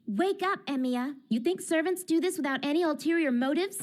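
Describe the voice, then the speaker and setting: A woman playing a sharp, pragmatic teenage girl mage in an English anime dub, blunt and lecturing. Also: high-pitched, fairly quick, lightly acted. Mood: stern. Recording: dramatic music under the voice.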